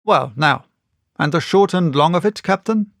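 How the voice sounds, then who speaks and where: A man voicing a composed gentleman doctor in an audiobook, courteous, firm and probing as he reasons. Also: on the high side, lively intonation, moderate pace, gravelly, no echo, studio quality, pitched above the performer's own voice. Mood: reasonable. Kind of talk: audiobook character reading.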